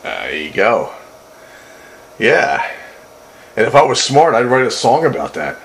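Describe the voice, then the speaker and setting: A man's voice: medium pitch, sing-song, unhurried, creaky. A man making casual, wry and folksy conversation over two-way radio. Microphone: radio desk mic. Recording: noisy, staticky two-way radio transmission.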